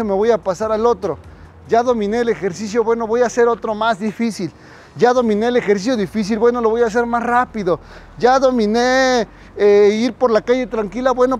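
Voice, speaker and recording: High-voiced man coaching outdoors. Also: darkish tone. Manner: instructive, addresses viewers directly. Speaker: dog trainer. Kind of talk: outdoor training tutorial. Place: residential street outdoors.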